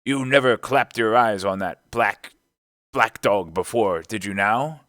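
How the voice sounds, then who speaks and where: A man voicing a bluff, sly old one-legged sailor landlord in a storybook, with forceful exclamations and salty seaman's talk. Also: sits low, animated, a little slow, dry recording, clean studio sound, heavily acted. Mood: stern.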